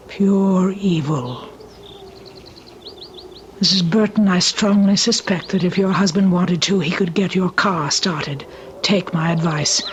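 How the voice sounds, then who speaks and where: Ominous, slow-speaking housekeeper. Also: female voice, fairly low pitch. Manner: grave, portentous, formal. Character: ominous, cryptic housekeeper of a moorland manor. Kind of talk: old-time radio drama acting. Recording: dull, faintly noisy old radio recording. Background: birdsong.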